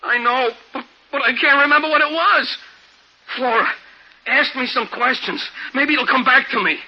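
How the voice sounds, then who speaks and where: Eager, anxious everyman: very high-pitched, stammering, plain-spoken, on dull old radio. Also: normal speed. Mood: worried. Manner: excitable, stammering, plain unschooled talk. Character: simple, anxious, eager working man in love. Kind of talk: 1950s radio drama acting. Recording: dull vintage radio recording.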